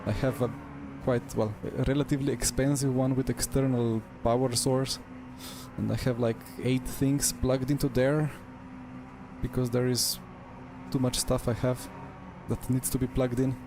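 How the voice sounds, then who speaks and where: A man's voice: medium pitch, level tone, normal speed. A man with a Slovenian accent streaming a sim race on a headset mic, talking to his viewers in short, broken-up bursts. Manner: talks to himself in short fragmented bursts. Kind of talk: live chat while sim racing. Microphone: wireless headset. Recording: game car noise underneath.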